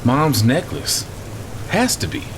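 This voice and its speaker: A man's voice: on the high side, sing-song, takes it slowly, vocal fry, background noise, full character voice. Reverberant, bitter grieving brother acted by a man. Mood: confused insistence. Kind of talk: audio drama dialogue.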